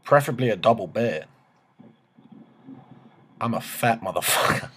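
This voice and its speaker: A man's voice: lowish voice, animated, normal speed, a bit bright, slight background noise. A man in his twenties making a joking phone call in a cheeky, swaggering, slangy way from a small room. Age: 20s. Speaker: prank caller and video host.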